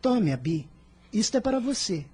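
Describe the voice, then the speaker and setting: A woman's voice: medium pitch, normal speed, breathy edge. A woman with a Brazilian accent playing a kind, solemn, pious young boy in a radio drama. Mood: kindly. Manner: solemn, caring, expressive. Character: earnest, kind, pious boy apostle, gently protective. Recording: music under the voice.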